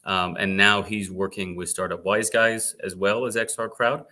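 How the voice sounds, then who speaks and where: Low bright man, halting interview host. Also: fairly even intonation. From United States. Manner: halting, repeats words often. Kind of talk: online fireside chat interview.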